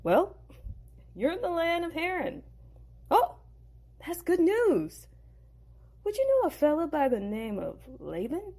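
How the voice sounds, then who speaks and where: A woman's voice: very high voice, wildly swooping pitch, takes it slowly, pauses often, warm tone, voice pushed up, full character voice. A woman voicing a timid young man on the run, speaking in a wide-eyed, breathless way. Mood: polite.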